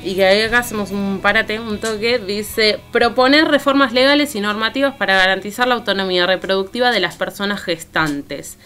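A woman's voice: medium pitch, a little fast, few pauses, a bit bright, smooth. A woman with an Argentine accent, speaking as a columnist in a radio discussion, reading out and commenting. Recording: music playing behind the voice. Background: music.

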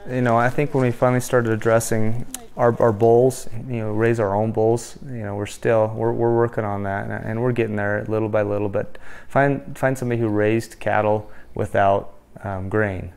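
A man's voice: fairly low pitch, gravelly, a little mellow, clean tone. A man in his thirties from Wyoming, a rancher in a documentary interview, talking earnestly with many restarts and fillers. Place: indoor room.

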